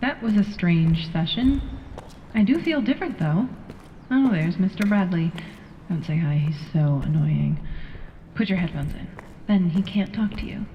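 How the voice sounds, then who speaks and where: A woman's voice: fairly low pitch, animated, normal speed, dull audio, slight background noise. A woman playing a character's skeptical, grumbling inner voice in a radio play, muttered with reverb. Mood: unsettled. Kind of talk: radio play interior monologue. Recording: long reverb, faint music behind.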